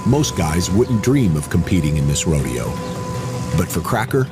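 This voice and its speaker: A man's voice: low-pitched, very animated, moderate pace, over music. A man delivering scripted narration for a documentary.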